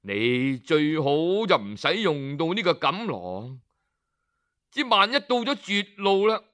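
A man voicing an old male hermit sage who gives slow, grave counsel with heavy sighs. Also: a little high, sing-song, bright, forceful tone, full character voice. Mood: grave, sorrowful.

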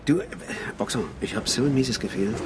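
An actor playing a restless, rough but tender working-class father in a radio drama, talking in a halting, colloquial way and repeating himself. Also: medium pitch, animated, background noise, natural voice. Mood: uneasy. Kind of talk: naturalistic radio drama dialogue and narration.

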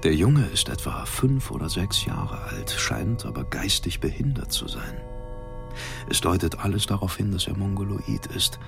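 An actor playing a polar expedition captain, dictating a grim, callous logbook in a measured, matter-of-fact way. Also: male voice, low voice, animated, vocal fry, over music. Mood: sober, grim. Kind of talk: radio drama journal monologue.

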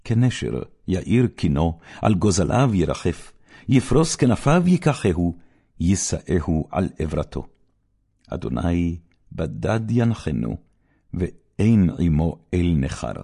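A man giving a solemn, declamatory scripture reading, with rhythmic phrasing and dramatic pauses between verses. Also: low-pitched, very animated, creaky, dark tone, breathy edge. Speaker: Bible reader.

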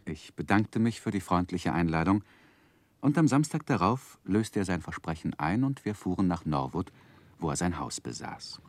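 An actor playing an earnest, romantic gentleman narrating his own youth in measured, literary for a 1960s radio drama. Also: sits low, animated, no room sound. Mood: reflective, composed. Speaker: narrator and main character. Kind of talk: dramatic first-person narration, 1960s German radio play.

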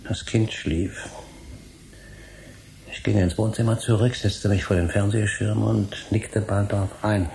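An actor in a German radio drama playing a guilt-ridden, emotional middle-aged academic with a gravelly voice. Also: male voice, fairly low pitch, level tone, unhurried, darkish tone, a little hiss or hum. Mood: haunted confession.

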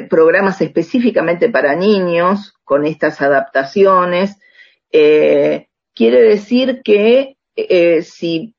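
A middle-aged Argentine woman talking conversationally as an interview guest, often checking in with little tag questions. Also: lowish voice. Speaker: radio drama writer, interview guest. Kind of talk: conversational interview, telling anecdotes. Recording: slightly dull lo-fi sound.

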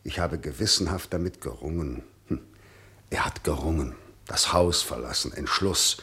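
An actor playing a stern, cold middle-aged jurist and father in a German radio drama, husky, clipped, formal and sarcastic. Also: extremely low pitch, moderate pace, pushed and bright, full character voice. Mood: scornful, brooding.

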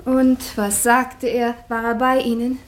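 An actress playing an attentive maid in a radio play, earnest and deliberate as she addresses her mistress. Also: on the high side, flat delivery. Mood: puzzled, attentive. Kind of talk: German radio play acting. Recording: roomy, reverberant studio sound.

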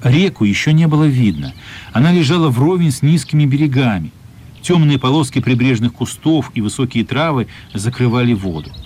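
A man's voice: mid-range voice, expressive, brisk, a little mellow, breathy edge. A man narrating a radio play in a scripted storytelling style.

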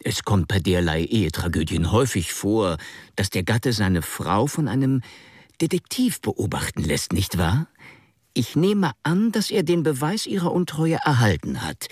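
Radio play hot-headed young gentleman, insistent and abrupt, swinging widely in pitch, rough husky tone. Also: very animated, measured pace, no room sound, studio quality, exaggerated voice. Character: strong young English gentleman, impulsive, accusing, agitated. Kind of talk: German radio drama acting.

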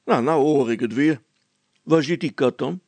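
A man's voice: medium pitch, normal speed, a little mellow, no room sound, close to an everyday voice. A man voicing a gullible, jovial village constable in a storybook, chatty and hearty, repeating himself as he rambles. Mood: suspicious. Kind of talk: storybook character acting.

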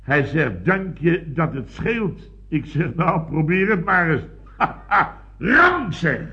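A blustering boss, dull old recording. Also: male voice, a little high, big pitch swings, takes it slowly, exaggerated voice. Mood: animated storytelling. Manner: rambling, repetitive, exclamatory storytelling with tag questions. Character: blustering, boastful male building contractor boss. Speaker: actor in a radio comedy serial. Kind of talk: 1970s radio comedy acting.

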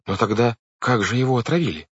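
A man's voice: medium pitch, quick pace, breathy edge, dry recording, voice pushed up, close to an everyday voice. A man voicing an anxious, earnest young girl from a storybook in an urgent, worried manner. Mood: doubtful. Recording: dull, lo-fi, dry recording.

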